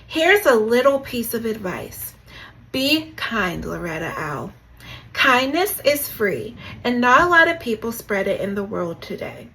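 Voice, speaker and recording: A woman voicing a kindly storybook bee lady who gives gentle, moralizing advice. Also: a little high, very animated, a little slow, exaggerated voice, poor audio. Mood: kindly advising. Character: kindly female bee giving wise advice.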